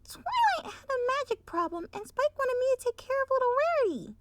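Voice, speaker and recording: A woman voicing a sweet, excitable young pony girl in a fan-made storybook reading, squealing and breathless. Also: extremely high pitch, brisk, slight background noise, voice pushed up. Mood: frantic.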